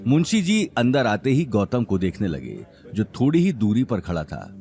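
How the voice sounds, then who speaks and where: A man's voice: mid-range voice, wildly swooping pitch, normal speed, warm tone, faint music behind. A man narrating a scripted audio drama story in a dramatic style.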